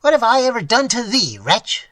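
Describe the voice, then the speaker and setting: A reader voicing a frail old male miser who cries out in high, shaking outbursts. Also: huge pitch swings, a little slow, sharp tone, breathy edge, no echo, clean studio sound, heavily acted. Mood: grieving reproach. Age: over 60.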